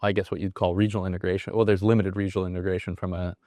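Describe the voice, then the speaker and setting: Deep-voiced male host, conversational, quick friendly prompts, dry close mic. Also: level tone, dark tone, no echo. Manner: friendly interjections and short prompting questions. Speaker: podcast host, company CEO. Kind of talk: conversational interview hosting.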